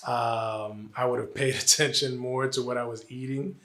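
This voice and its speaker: A man in his forties from New Jersey answers interview questions at length, earnest and a little meandering, often pausing on "uh". His voice is bright and forward. The room gives it a clear echo. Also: medium pitch, steady tone. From New Jersey, Puerto Rican family. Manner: earnest, lots of "uh" and "you know", repeats phrases. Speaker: interviewee, college administrator.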